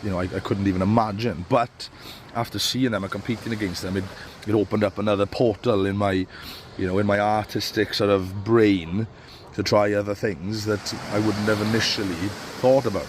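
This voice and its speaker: Man, low voice, stuffy cold, rambling with fillers, outdoors on a beach, faint background noise. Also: steady tone, a little fast. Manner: rambling, with many fillers and restarts. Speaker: barber coach. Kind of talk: casual advice talk.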